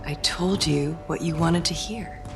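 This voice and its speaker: An actress playing a defiant, desperate captive fortune teller, strained and protesting, in a video game cutscene. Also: female voice, deep voice, a little slow. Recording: echoey space with music behind.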